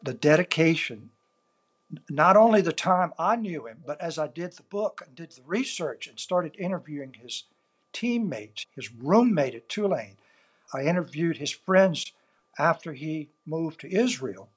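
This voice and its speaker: Husky older Cajun man remembering. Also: a little high, expressive. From South Louisiana. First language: Cajun French. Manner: earnest storytelling, measured pauses. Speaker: author and interview guest. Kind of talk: reflective interview answers.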